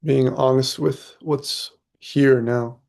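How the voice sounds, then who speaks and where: A man leading a guided meditation, speaking calmly in short phrases with long pauses between them. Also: medium pitch, vocal fry. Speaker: meditation teacher.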